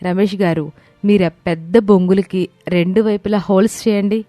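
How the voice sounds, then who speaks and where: A woman playing a calm, encouraging village daughter-in-law in a story. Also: medium pitch, normal speed, no echo, faint music behind, close to an everyday voice. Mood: cheerful.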